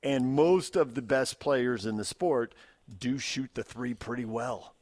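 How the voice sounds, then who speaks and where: An American man hosting unscripted sports talk on radio, explaining his points in a measured way. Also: mid-range voice, a little mellow, no room sound.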